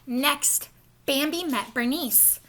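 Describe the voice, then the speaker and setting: Female storyteller for young kids, high-pitched and bright, expressive read-aloud delivery. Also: slow. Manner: expressive, explains to young listeners. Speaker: storybook reader, school staff.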